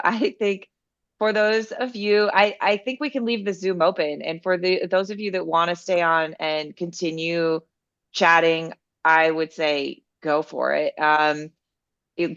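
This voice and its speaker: A slightly low-voiced woman speaks through a muffled, lo-fi video call. She is a bit hoarse from a cold and talks casually, with lots of "like" and "um", as she moderates a community group meeting. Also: a bit bright. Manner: chatty, lots of "like" and "um".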